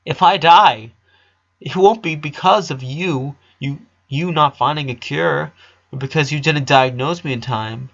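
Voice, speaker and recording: A man's voice: on the high side, measured pace, gravelly, fairly bright tone, dull audio, voice pushed up, exaggerated voice. A man voicing an eleven-year-old boy, a caring big brother who speaks plainly and earnestly in a story. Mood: earnest, reassuring. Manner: earnest, reassuring, plain-spoken.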